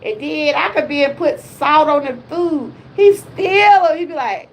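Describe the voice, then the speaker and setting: A woman's voice: high-pitched, measured pace, slight background noise, low-quality recording. A woman in her fifties with a Southern US accent, chatting casually on her wellness livestream with folksy exclamations. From Georgia, USA. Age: 50s. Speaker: wellness vlogger.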